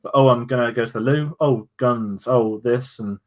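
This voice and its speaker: A man's voice: medium pitch, normal speed. A man co-hosting a casual review chat, musing loosely with restarts and fillers. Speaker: podcast co-host.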